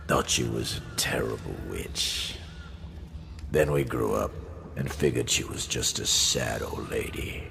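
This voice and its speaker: Gravelly, very deep gang boss. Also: male voice, full character voice. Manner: wry, plain-spoken, colloquial. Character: rough, wry, battered street-gang boss. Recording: noisy ambient background.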